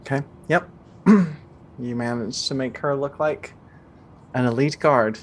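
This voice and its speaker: A woman's voice: a bass voice, measured pace, slight background noise. A woman improvising narration as game master of a tabletop game, speaking in a casual, conversational way.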